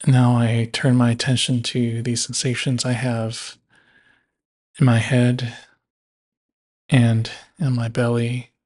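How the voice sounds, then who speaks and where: A man guiding a body scan meditation in a measured way, leaving long pauses between phrases. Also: mid-range voice, monotone, a little mellow. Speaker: meditation guide.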